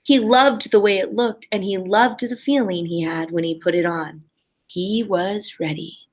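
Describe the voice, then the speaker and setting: A woman's voice: mid-range voice, measured pace. A woman kindergarten teacher reading a picture book aloud expressively for young children, with simple explanatory asides.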